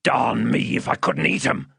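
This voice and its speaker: A rough, dangerous convict from a storybook, acted out by a male narrator, growls coarse rustic threats. The voice is husky and gravelly, swinging widely in pitch as he bullies. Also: sing-song, a little slow, a bit bright, no room sound, studio quality, full character voice. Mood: gloating menace. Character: menacing rough escaped convict, adult man.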